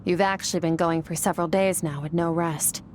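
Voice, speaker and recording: A woman voicing a stern, composed female swordmaster who coaches her student in a calm, measured, instructive way. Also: fairly low pitch, background noise, lightly acted. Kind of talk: video game voice acting.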